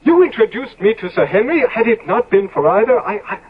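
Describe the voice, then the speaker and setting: An actor playing a desperate, guilty young gentleman in a 1970s radio drama, with stammering, theatrical, pleading delivery. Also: high voice, big pitch swings, a little fast, breathy edge, full character voice. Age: young adult. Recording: dull, lo-fi old radio recording.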